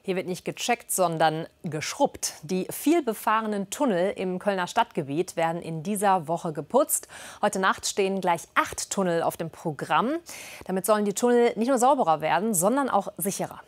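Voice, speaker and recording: Female news anchor, mid-pitched. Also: animated, normal speed. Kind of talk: scripted news presentation.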